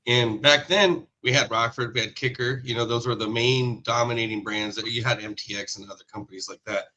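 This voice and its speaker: A man's voice: mid-range voice, fairly even intonation, brisk, pushed and bright, a little hiss or hum, rough recording. A man in his thirties, originally from Hawaii, hosting a live stream and telling stories about car audio in a forthcoming way. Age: 30s.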